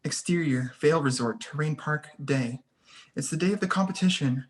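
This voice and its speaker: Mid-pitched reader of screenplay directions. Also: moderate pace. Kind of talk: scripted screenplay narration.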